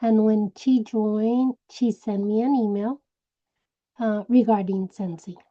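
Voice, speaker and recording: A woman from Puerto Rico with a Puerto Rican Spanish accent, Spanish her first language, telling her personal story slowly and searching for words. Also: mid-range voice, steady tone, mellow, dull audio. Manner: slow, searching for words, many restarts. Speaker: direct sales director. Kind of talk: personal story told to a group.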